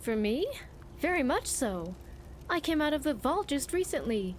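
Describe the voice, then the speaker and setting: A fairly high-pitched young female vault survivor , played by a woman, confides in scripted game dialogue. She is earnest and wistful, with careful, formal wording. Rainy ambient noise sits faintly behind her voice. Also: very animated, moderate pace. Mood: wistful, sorrowful. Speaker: game companion character.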